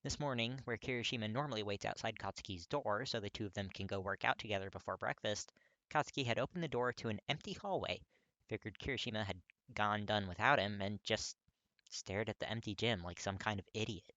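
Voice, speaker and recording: A narrator reading fiction aloud in an expressive, emphatic audiobook style. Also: medium pitch, a little fast, dry recording, muffled recording. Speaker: podfic narrator.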